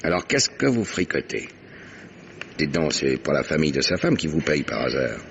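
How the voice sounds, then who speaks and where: Gruff, very deep-voiced police lieutenant. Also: male voice, big pitch swings, moderate pace, a little hiss or hum, exaggerated voice. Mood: threatening. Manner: curt, bullying. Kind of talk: French radio crime drama acting. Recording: dull, lo-fi old radio recording.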